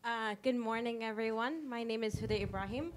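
A young woman intern, raised in Washington, D.C., introduces herself in a relaxed way. Her voice is mid-pitched, and there is faint background noise in the conference room. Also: brisk. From born and raised in Washington, D.C. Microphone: handheld microphone.

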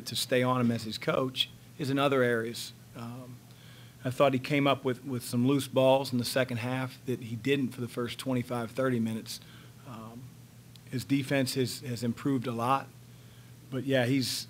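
A man in his fifties, a head coach answering questions at a post-game press conference, speaking in a measured, halting way with many fillers, into a table microphone in a press room. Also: mid-range voice, steady tone, slight background noise. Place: press room in front of a sponsor backdrop. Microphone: table microphone on a stand.

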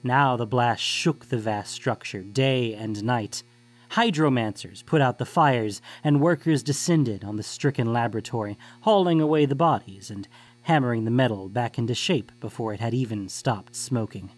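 A man's voice: mid-range voice, normal speed. A man reading scripted fantasy story narration as the narrator.

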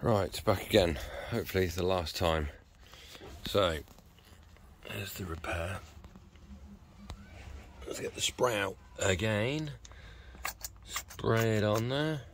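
A British man in his forties giving a low-key, casual running commentary as he does a repair, half muttering to himself. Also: slow pace, pauses often. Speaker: vlogger demonstrating a repair. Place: covered outdoor patio by a hot tub. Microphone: handheld phone camera mic. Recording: faint ambient noise, handheld phone recording.

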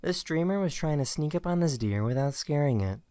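A narrator reading short scripted lines over internet clips, in an even, plain delivery. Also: medium pitch, brisk, slight background noise. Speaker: clip show narrator.

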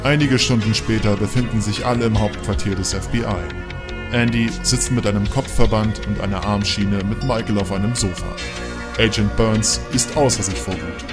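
A man's voice: lowish voice, fairly even intonation, moderate pace. A man narrating an audio drama from a script. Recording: loud music and vehicle noise behind.

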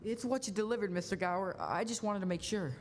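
Boy shop helper young male actor, high voice, earnest and anxious, live radio play with hall reverb. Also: quick pace, slight background noise. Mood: pleading, anxious. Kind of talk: stage radio-play acting. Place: school auditorium stage.

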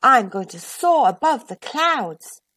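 An earnest storybook scarecrow played by a woman, high and very lively in pitch. He is a dreamer, excitable and given to exclaiming. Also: takes it slowly, slightly rough, no echo, exaggerated voice. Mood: wistful, determined. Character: longing, excitable male scarecrow dreaming of flight.

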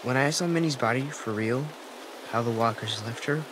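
A man playing a soft-spoken, sad and earnest young boy artist in a dramatic video game, speaking haltingly. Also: mid-range voice, natural voice. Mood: somber, earnest. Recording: clear ambient noise behind.

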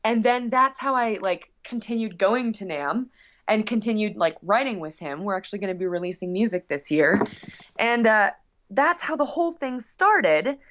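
A woman with a mid-pitched voice talks as a musician guest in a casual interview. She is excitable and gushing, rambling from thought to thought. The sound is slightly dull and lo-fi. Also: normal speed.

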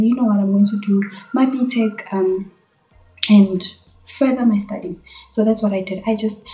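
A young South African woman talks straight to the camera in an earnest, chatty way, answering viewer questions. Her voice is mellow and soft-edged. The bedroom gives it a noticeable echo. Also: medium pitch. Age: 20s. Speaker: YouTuber. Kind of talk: casual vlog Q&A. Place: bedroom, indoors. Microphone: camera on tripod in front. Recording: echoey bedroom.

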